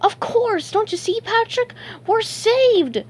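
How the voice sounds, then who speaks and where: Woman voicing an excitable high-pitched young male cartoon sponge. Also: a little slow, full character voice. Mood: excited. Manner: dramatic, wailing and exclaiming.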